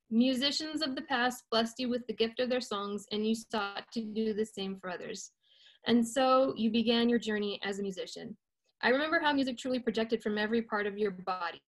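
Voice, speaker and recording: Earnest woman reading her poem, rather high. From United States. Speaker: poet. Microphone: video call.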